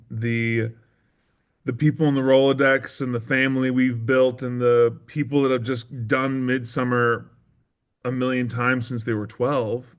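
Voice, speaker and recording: A man being interviewed as a theatre maker, speaking in an earnest, reflective way and feeling out his phrasing. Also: medium pitch.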